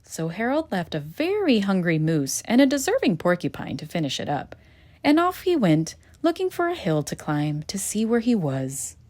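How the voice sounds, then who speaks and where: A woman reading a children's picture book aloud in a gentle, expressive storytelling manner. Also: medium pitch, moderate pace. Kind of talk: children's read-aloud narration.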